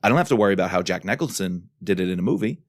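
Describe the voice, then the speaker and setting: A man's voice: low voice, animated, racing, no echo, studio quality. A man co-hosting a podcast in a casual, enthusiastic and emphatic discussion style. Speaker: podcast co-host.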